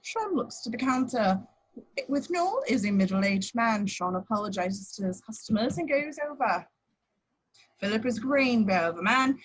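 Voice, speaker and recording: A woman's voice: on the high side, animated, moderate pace, slightly rough, rough recording. A woman reading stage directions as plain scripted narration at an informal table read. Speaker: action-description reader.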